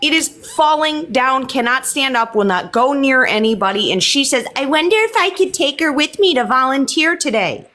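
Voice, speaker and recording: A woman's voice: high-pitched, lively intonation, normal speed, hardly any pauses, bright, forceful tone. A woman in a heated livestream chat, speaking bluntly and lecturing listeners, with frequent swearing. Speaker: livestream guest.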